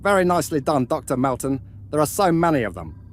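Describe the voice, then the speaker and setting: A man's voice: fairly high pitch, big pitch swings, fast talker, a little hiss or hum. A man playing a courteous, inquisitive starship crew leader in an amateur sci-fi drama, with old-fashioned phrasing. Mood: intrigued.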